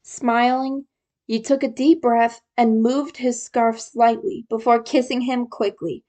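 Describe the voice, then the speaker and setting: A woman's voice: a little high, level tone, unhurried, warm tone. A woman reading a scripted story aloud as a narrator, addressing the listener as the main character. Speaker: fan fiction reader.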